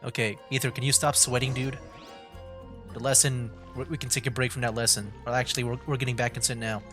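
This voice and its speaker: A man giving casual game commentary as a streamer, thinking aloud in a halting, musing way. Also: medium pitch, flat delivery. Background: game music.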